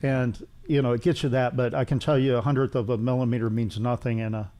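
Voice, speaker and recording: A middle-aged man talks as a guest in a casual technical interview. His voice sits at a medium pitch, and he explains things haltingly, circling back and repeating himself as he goes. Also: steady tone, warm tone. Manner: halting, rambling explanations with repetition. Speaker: interview guest, loudspeaker engineer.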